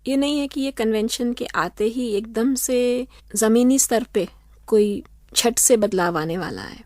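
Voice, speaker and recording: A woman law professor answering interview questions in a measured, explanatory way, slipping in English phrases. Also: on the high side, a little mellow, no room sound, clean studio sound. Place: radio studio.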